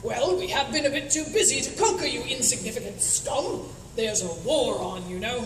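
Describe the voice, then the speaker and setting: A woman's voice: fairly high pitch, expressive, normal speed, close to an everyday voice. A woman playing a pompous, insulting queen of an underground empire in comedic radio theatre. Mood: boastful. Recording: echoey noisy hall, far from mic.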